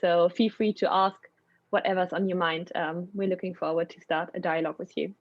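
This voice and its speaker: A woman's voice: lowish voice, steady tone, fairly quick, no room sound. Young woman hosting a zoom webinar, lots of ums, dry dull call sound. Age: young adult. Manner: hesitant, many ums. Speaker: webinar host. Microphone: video call.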